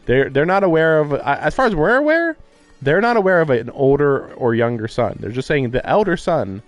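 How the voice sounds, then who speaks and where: A man giving casual live-stream commentary on a game, thinking aloud in halting, musing fragments. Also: fairly high pitch, sing-song, no echo. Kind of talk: casual live commentary on a visual novel playthrough. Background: music.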